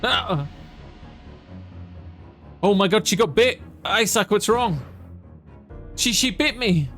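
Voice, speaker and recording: A man voicing a frightened high school student in a horror visual novel, urgent and alarmed. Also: animated, slow, a bit bright, soft background music, full character voice. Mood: panicked.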